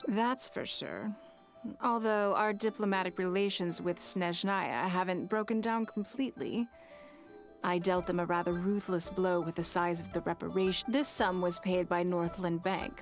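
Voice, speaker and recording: A woman's voice: mid-range voice, close to an everyday voice. An actress playing a poised, shrewd and courteous noblewoman of business in a video game.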